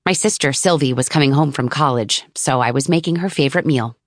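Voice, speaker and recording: A woman's voice: a bass voice, fairly quick, no echo, studio quality. A woman reading a first-person novel as a scripted audiobook narrator.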